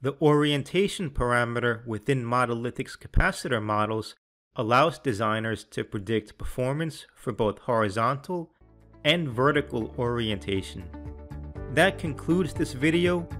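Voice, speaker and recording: A man reads a scripted technical walkthrough in a measured, even way. His voice sits at an ordinary middle pitch. It is a steady voice-over for a product tutorial. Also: soft background music. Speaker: sales and applications engineer. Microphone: voice-over over slides and software screens.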